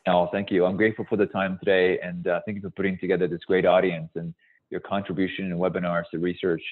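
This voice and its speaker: A man in his forties, from Hungary and raised partly in the US, explaining things in a measured, emphatic way as a guest presenter on a webinar. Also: low-pitched, level tone. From born in Hungary, moved to the US as a child. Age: 40s. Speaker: company founder, guest presenter. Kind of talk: webinar presentation and question answering. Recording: thin, phone-like call audio.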